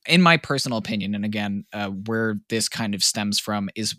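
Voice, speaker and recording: A man's voice: lowish voice, normal speed, pushed and bright, no room sound, clean studio sound. A man chatting on a podcast, rambling and often stopping to hunt for the right word. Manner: rambling, searching for words, many fillers. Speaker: podcast co-host. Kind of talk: casual podcast chat.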